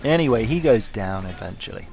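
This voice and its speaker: Gravelly, wry man commentating on gameplay. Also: fairly low pitch, expressive, fairly quick, heavy background noise. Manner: wry, self-deprecating, understated. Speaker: gaming video host.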